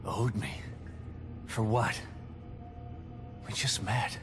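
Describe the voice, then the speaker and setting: An actor playing a grim, determined middle-aged detective in a video game, terse and hard-boiled, haunted by loss. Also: male voice, on the low side, very slow. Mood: shaken. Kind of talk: dramatic video game acting. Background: music.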